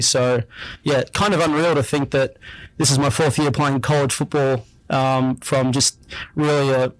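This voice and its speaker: Young Australian guy as a relaxed radio guest, average pitch, close and dry. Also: dry recording, poor audio. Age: young adult. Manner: relaxed, easygoing, repeats himself with frequent fillers. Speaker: guest athlete. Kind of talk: casual radio interview.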